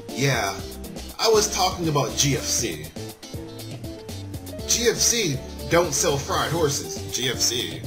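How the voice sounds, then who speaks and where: A man with a very lively, swinging delivery hosts a let's play of a visual novel, with music clearly playing behind him. His pitch sits in an average male range. He jokes with viewers and trips over the odd word as he goes. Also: takes it slowly, long pauses. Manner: jokey, stumbles while reading and addresses viewers. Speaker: gaming video host.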